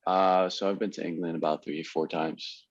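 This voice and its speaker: American guy, lowish voice, lots of ums, muffled dull line. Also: steady tone, normal speed. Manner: hesitant, full of fillers. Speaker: podcast guest. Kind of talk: casual chat among fans.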